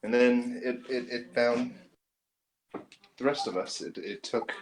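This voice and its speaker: A man narrating a tabletop role-playing game as game master, with a halting, stop-start delivery. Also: mid-range voice, steady tone, slight background noise, poor audio.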